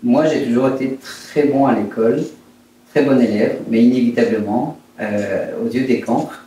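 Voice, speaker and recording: A man in his fifties speaks reflectively in a mellow, relaxed tone, often pausing to find his words as he is interviewed. The room is strongly reverberant, the microphone is distant, and a faint noise sits in the recording. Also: mid-range voice. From Brittany, France. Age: 50s. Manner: hesitant, halting, reflective. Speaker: interviewed entrepreneur. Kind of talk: personal life-story interview.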